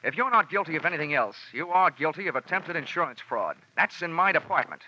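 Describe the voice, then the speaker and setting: A man's voice: on the high side, sing-song, quick pace, sharp tone, a little hiss or hum. A man narrating and acting a hard-boiled insurance investigator in a 1950s radio drama, wisecracking and dry. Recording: dull lo-fi old radio recording with music.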